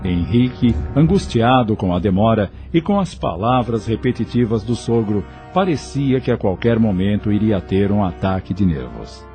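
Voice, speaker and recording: A man's voice: lowish voice, lively intonation, moderate pace, hardly any pauses, warm tone, soft background music. A man narrating a scripted audio drama as storyteller.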